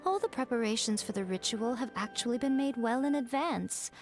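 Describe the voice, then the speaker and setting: A woman's voice: fairly high pitch, brisk, darkish tone, close to an everyday voice. Woman doing a sly fox priestess from a game, teasing n self-assured, bit of music behind. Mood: composed, explanatory. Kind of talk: video game voice acting.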